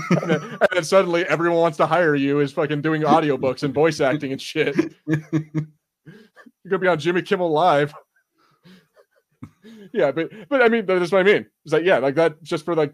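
A man co-hosting a podcast, chatting casually about film and TV in a rambling way with frequent swearing. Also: a little high. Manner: rambling, profane. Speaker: podcast co-host.

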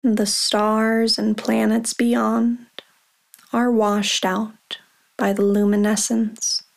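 A woman leading a guided meditation, speaking softly and calmly with long pauses. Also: fairly high pitch, creaky. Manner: slow, soft and calm, with long pauses.

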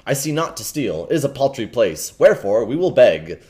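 A man's voice: mid-range voice, very animated, a little fast, a little mellow, close to an everyday voice. A man reading aloud as a scheming young male beggar-thief, in old-fashioned storybook speech.

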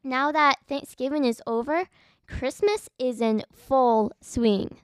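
High-voiced young-sounding female podcast co-host. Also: takes it slowly, clean tone, no room sound, clean studio sound. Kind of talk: scripted children's podcast chat and narration.

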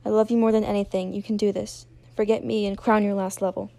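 A woman's voice: medium pitch, little pitch movement, brisk, creaky, dark tone, no room sound. A woman playing a devoted, trapped female companion in a story, earnest and reassuring. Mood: urgent, loving.

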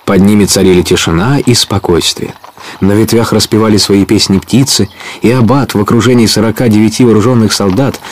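A man with a somewhat low voice narrates a scripted audio play. He tells the story expressively, in the manner of a storybook reader. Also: fairly even intonation, fairly quick, a little hiss or hum.

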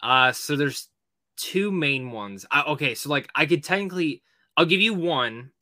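A man co-hosting a podcast, chatting casually about movies in an animated, slangy way with plenty of swearing. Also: fairly high pitch, normal speed, dry recording, studio quality. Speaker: podcast co-host.

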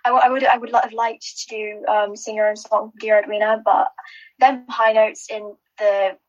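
A woman's voice: mid-range voice, little pitch movement, normal speed, lo-fi sound. A woman who is a finalist guest, chatting casually, rambling with lots of restarts and giggles. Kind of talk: casual chat.

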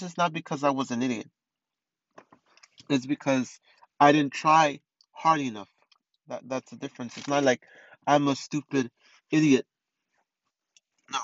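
A man's voice: fairly high pitch, fairly bright tone, dry recording, dull audio. A man in his twenties hosting a casual solo podcast, rambling with many restarts and fillers. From East Los Angeles. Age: 20s. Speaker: podcast host.

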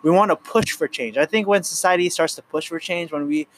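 A man's voice: on the high side, a little fast, a little hiss or hum, rough recording. Earnest rambling young man in his twenties. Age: 20s. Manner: rambling, earnest, many fillers and restarts. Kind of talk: casual chat.

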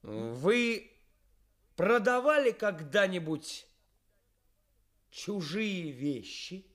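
An actor playing a brazen young stage actor who poses as a cynical swindler fiancé in a Soviet radio play, pompous and deliberate with emphatic pauses. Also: male voice, sits high, sing-song, a bit bright, full character voice. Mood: businesslike, cynical. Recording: studio radio play with music.

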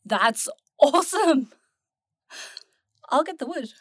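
A woman's voice: high-pitched, lively intonation, slow pace, fairly bright tone, no echo, heavily acted. A woman playing a curious, uneasy young human traveller in a fantasy audio drama, speaking in an informal, chatty way. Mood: curious, upbeat.

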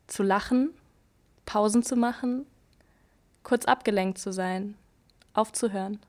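A woman performing a scripted spoken-word monologue, measured and listing one phrase after another. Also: medium pitch, darkish tone. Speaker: performer in an educational audio play.

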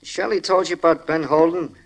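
An actor in an old radio crime drama playing a nosy household butler, insinuating in folksy working-class slang with hesitant pauses. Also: male voice, fairly high pitch, steady tone, vocal fry, rough recording. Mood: slyly probing. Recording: old-time radio broadcast recording.

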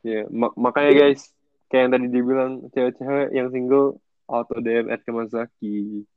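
A man's voice: mid-range voice, steady tone, normal speed, clean tone, no echo, narrow, tinny audio. A young man with a Jakarta Indonesian accent co-hosting a podcast in casual, slangy banter, mixing in English words. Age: young adult. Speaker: podcast co-host.

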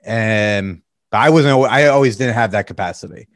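A man's voice: fairly low pitch, normal speed, no echo, studio quality. A man in his thirties, a podcast guest, talking candidly and reflectively with a joking streak. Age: 30s. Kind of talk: casual interview chat.

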